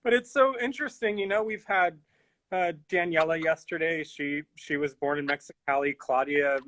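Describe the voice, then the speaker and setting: A man's voice: a little high, normal speed, a bit bright. A man interviewing an artist, asking long, loosely worded questions and giving frequent backchannel sounds. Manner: friendly, rambling questions with many backchannels. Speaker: curator, interviewer. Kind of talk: conversational interview.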